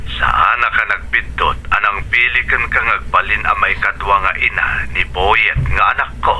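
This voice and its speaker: A man's voice: fairly low pitch, fairly even intonation, normal speed, bright, forceful tone, a little hiss or hum, low-quality recording. A man playing a concerned, placating husband and father in a Philippine radio drama, speaking earnestly.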